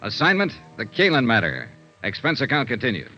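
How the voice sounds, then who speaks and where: An American man playing a sharp hardboiled insurance investigator in 1950s radio drama, crisp and clipped. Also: mid-range voice, huge pitch swings, brisk. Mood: dramatic narrating. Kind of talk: 1950s radio detective drama acting and narration. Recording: dull vintage radio recording with music.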